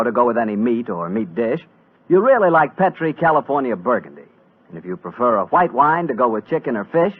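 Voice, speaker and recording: A man announcing and pitching a sponsor on 1940s radio in a folksy, conversational way. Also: husky. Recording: muffled vintage radio recording.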